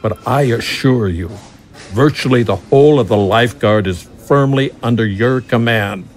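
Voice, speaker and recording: Dutiful male general played by an actor: measured, formal, deferential, middle pitch, audio drama. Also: close to an everyday voice. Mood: defensive. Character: dutiful male general defending himself to his superior.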